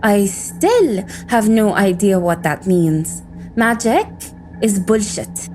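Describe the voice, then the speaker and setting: Dry, mellow-voiced, irritable female operative. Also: mid-range voice, lively intonation, slow, faint music behind. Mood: exasperated. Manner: dry, cynical, profane. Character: irritable, sarcastic female cybersecurity operative giving a report. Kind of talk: audio drama acting.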